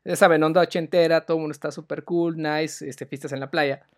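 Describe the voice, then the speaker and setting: Mexican dude cohosting, super slangy, says güey nonstop, kinda high voice. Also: male voice, fairly even intonation, brisk. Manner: slangy, says "güey" a lot, drops to a mutter. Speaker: podcast co-host. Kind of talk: casual chat reviewing a TV series.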